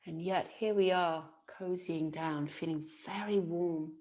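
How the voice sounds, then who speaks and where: Female yoga nidra guide, low-pitched, very slow delivery, soothing and gentle, long pauses. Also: fairly even intonation, highs cut off. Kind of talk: guided relaxation meditation.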